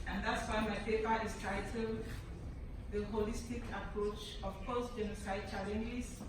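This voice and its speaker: A young woman from Cameroon, giving a formal prepared address at a conference in an earnest, emphatic way, often repeating phrases. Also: mid-range voice. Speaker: conference speaker. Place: large conference hall. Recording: echoey hall, distant mic, background noise.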